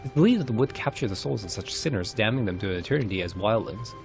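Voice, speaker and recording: Man, low pitch, creaky fry, formal theatrical storytelling, game dialogue read aloud, faint background music. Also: animated, fast. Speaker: streamer narrating game story. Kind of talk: reading game cutscene dialogue aloud in character.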